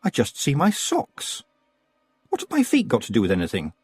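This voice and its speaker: A man's voice: medium pitch, huge pitch swings, normal speed, darkish tone, husky, dry recording, faint music behind, pitched above the performer's own voice, exaggerated voice. A man voicing an earnest, indignant young girl witch.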